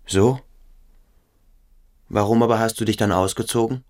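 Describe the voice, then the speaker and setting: An actor playing a stern, brooding boarding-school pupil who probes and commands in a German radio play. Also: male voice, on the low side, steady tone, moderate pace, darkish tone, close to an everyday voice. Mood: stern, accusing. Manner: insistent, probing, commanding.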